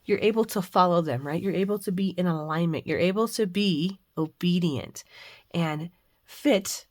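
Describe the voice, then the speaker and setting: Hoarse middle-aged woman host, lower voice, rambling casual chat with fillers. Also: normal speed. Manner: chatty, rambling with frequent fillers. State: hoarse, recovering from flu with a cough. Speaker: craft channel host. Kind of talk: casual devotional and crafting chat. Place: home craft room.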